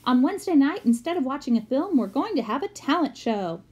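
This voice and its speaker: A man's voice: very high-pitched, very animated, a little fast, darkish tone, pitched above the performer's own voice. Storybook role: upbeat, encouraging schoolteacher addressing campers, read by a woman in a lifted voice. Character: upbeat male schoolteacher announcing a talent show to campers.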